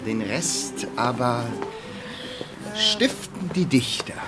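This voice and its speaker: A woman's voice: very deep voice, sing-song, slow pace, over loud music, exaggerated voice. An actress playing a musing woman drawling wordplay in a radio play.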